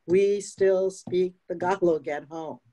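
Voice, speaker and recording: A woman's voice: on the low side, fairly even intonation, slow pace, dark tone. An older woman, a union representative, speaking emphatically on a video panel and tagging points with "right?". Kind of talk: panel discussion. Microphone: video call.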